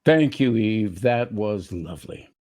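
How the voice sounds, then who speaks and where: Animated, unhurried male radio announcer. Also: on the low side.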